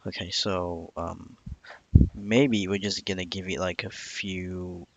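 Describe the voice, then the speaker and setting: A man on an informal live coding stream, thinking aloud in a halting way, muttering to himself and repeating phrases. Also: low-pitched, creaky, fairly bright tone, slight background noise. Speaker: live streamer learning software.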